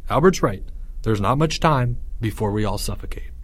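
A man's voice: on the low side, animated, moderate pace, husky. A man voicing a determined schoolboy commander who talks sternly and urgently to his troops in an audiobook story. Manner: urgent, military-style.

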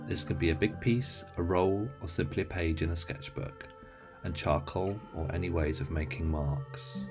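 Low-pitched man introducing a meditation. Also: moderate pace, over music. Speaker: host introducing an audio series. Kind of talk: scripted introduction to a guided meditation.

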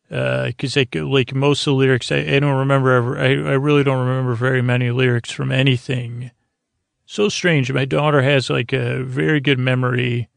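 A middle-aged man with a creaky voice hosting a bedtime podcast, talking in a halting, meandering, goofy way that keeps interrupting itself. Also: mid-range voice, no room sound, clean studio sound. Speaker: podcast host. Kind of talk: rambling bedtime podcast monologue.

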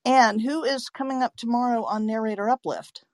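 A woman's voice: fairly high pitch, steady tone, moderate pace, no room sound, studio quality. A woman hosting a live audio chat show in a conversational way. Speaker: host.

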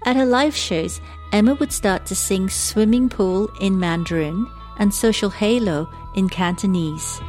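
A woman hosting, reading a measured narration and then asking questions with some hesitation. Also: medium pitch, darkish tone, faint music behind. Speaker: podcast host and interviewer. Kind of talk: scripted narration and interview questions.

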